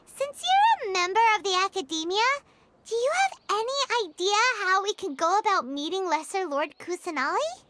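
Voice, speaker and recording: A woman voicing a small, childlike fairy companion in an anime-style video game, squeaky, bubbly and exclamatory. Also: sing-song, moderate pace, slight background noise, heavily acted. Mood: chatty, curious. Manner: bubbly, exclamatory, refers to self in third person. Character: small, excitable childlike female fairy companion, cute and chatty. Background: loud game music.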